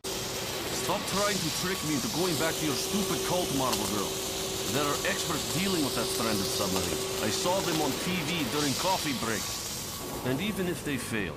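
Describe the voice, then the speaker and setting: A man's voice: medium pitch, lightly acted, rough recording. A man voicing a reluctant, stubborn young superhero in a motion comic, blunt and dismissive in manner. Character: reluctant nineteen-year-old Russian male superhero, stubborn and weary. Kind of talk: dramatic motion comic voice acting.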